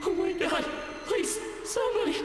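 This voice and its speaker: A man voicing a panicked female spirit, wailing and pleading for help in a shrill voice. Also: moderate pace. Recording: echoing, loud music behind.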